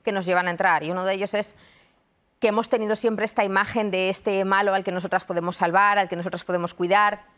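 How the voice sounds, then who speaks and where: A woman from Madrid, a university researcher and lecturer in her thirties, giving measured, reflective answers in a TV studio interview. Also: medium pitch, steady tone, fairly bright tone. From Madrid, Spain. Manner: thoughtful, measured, frequent restarts. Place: television studio.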